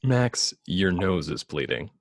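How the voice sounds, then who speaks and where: A man's voice: low voice, very animated, slow, exaggerated voice. A man playing a brooding, curt, conflicted vigilante billionaire, terse and restrained, in a screenplay table read.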